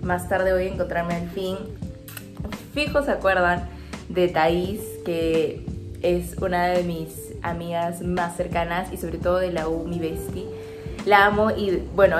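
A woman's voice: lowish voice, unhurried, reverberant. A young woman in her twenties from Peru, with a Peruvian Spanish accent, chatting upbeat and confiding as a daily vlogger. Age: 20s. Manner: talkative, upbeat, confiding to viewers. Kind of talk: casual chatty vlog narration. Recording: background music under voice.

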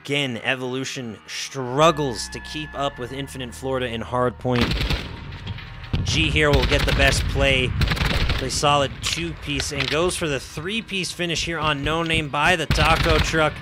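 Hoarse young man calling esports. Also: medium pitch, measured pace, over music. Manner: excited, rising commentary calls. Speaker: esports caster. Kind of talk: esports play-by-play commentary. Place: home streaming setup, indoors. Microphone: headset.

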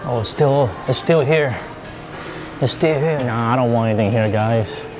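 A man chatting casually to his viewers as he shops in a grocery store, thinking out loud and repeating himself. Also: mid-range voice, normal speed. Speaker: vlogger. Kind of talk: casual vlog chat.

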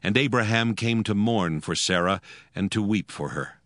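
A man narrating scripture in a solemn, measured and formal scripted style. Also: on the low side, a bit bright, no room sound.

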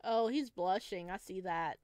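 A woman's voice: mid-range voice, unhurried, darkish tone, clear, resonant tone. A woman hosting a gaming video, reading visual novel narration expressively with casual asides.

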